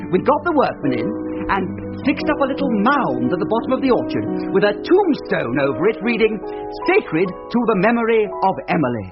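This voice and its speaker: A plummy young gentleman spins a tale with mock earnestness, a male actor in the part. His voice is high and lively, in period comic radio style. It sounds muffled, with loud music underneath. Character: chatty upper-class young gentleman telling tall ghost tale.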